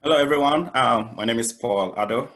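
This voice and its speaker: A man from Ghana, a chemist, introducing himself on an online panel, halting with many fillers. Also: medium pitch, a bit bright. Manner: halting, many "um" and "you know".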